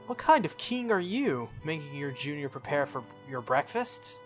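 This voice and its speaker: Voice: medium pitch, big pitch swings, moderate pace, close to an everyday voice. A proud and prickly teenage girl from a visual novel, acted by a game streamer. She sounds haughty and clipped, with music playing behind the lines. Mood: haughty scolding. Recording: music under the voice.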